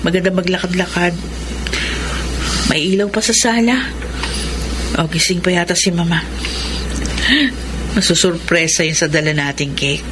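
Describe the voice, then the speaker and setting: Earnest, emotional daughter in a radio drama, acted. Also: female voice, lowish voice, fairly even intonation, moderate pace, long pauses, background noise, lightly acted. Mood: hushed, curious. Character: resentful, righteous adult daughter, outraged by her mother. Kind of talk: Tagalog radio drama acting.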